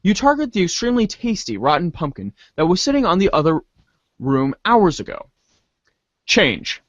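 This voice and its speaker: Male narrator for a comic reader's theater, highish voice, mock-grand delivery, dry and close sound. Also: very animated, normal speed, a bit bright, dry recording, highs cut off. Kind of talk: dramatic narration of a comic adventure.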